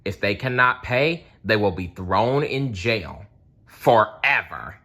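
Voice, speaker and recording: A man's voice: lowish voice, expressive, slow, bright, forceful tone, exaggerated voice. A man voicing a stingy, domineering mayor with a pompous, commanding manner in a storybook. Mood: stern decree. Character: stingy, domineering ship captain turned mayor.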